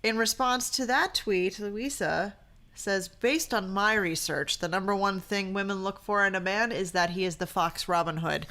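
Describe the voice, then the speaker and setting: A woman's voice: mid-range voice, normal speed, bright, forceful tone. A woman in her thirties co-hosting a podcast, chatting casually and reading posts aloud in a wry, playful way. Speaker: podcast co-host.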